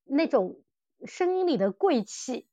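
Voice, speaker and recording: A high-voiced woman hosts a casual fan podcast. She talks in an excited, gushing way, full of exclamations. The sound is close and dry. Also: unhurried, dry recording. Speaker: podcast host. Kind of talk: casual fan chat about audio dramas.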